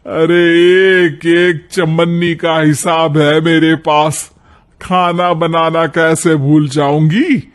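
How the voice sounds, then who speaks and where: Man playing a proud elderly Indian mother-in-law, slow-spoken. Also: fairly high pitch, level tone. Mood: indignant, defensive.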